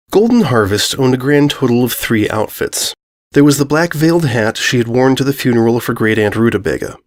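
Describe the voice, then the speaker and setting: Man narrating a comic story. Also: medium pitch.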